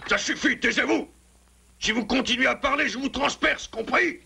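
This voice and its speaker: High, barking cartoon guard. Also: male voice, normal speed, gravelly, pushed and bright, breathy edge, full character voice. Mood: threatening. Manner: barking, exclamatory. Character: bossy male animal guard with a spear, loud, threatening. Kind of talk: French dubbed cartoon acting. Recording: music behind the voice.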